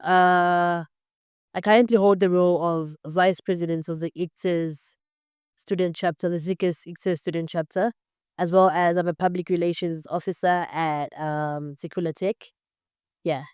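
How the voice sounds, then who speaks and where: Young woman, podcast guest, lowish smooth voice, earnest and explanatory, dry close mic. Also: steady tone, moderate pace, darkish tone, no room sound. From Zambia. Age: 20s. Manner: earnest, explanatory, frequent "um" and "basically". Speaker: podcast guest, computer science student. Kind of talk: casual interview answers.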